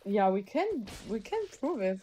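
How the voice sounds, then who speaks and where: A woman from Poland with a Polish accent, calling in to a talk show and debating in halting English. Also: mid-range voice, sing-song, warm tone. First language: Polish and German. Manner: halting, restarts sentences, searches for words. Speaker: caller. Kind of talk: casual debate on a call-in show.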